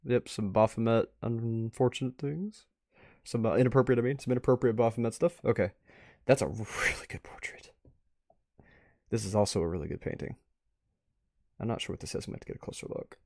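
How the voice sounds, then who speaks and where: A man's voice: medium pitch, brisk, pauses often, darkish tone, no room sound, clean studio sound. A man giving casual, off-the-cuff commentary as a stream host, rambling and musing aloud. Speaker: host of a live art review stream.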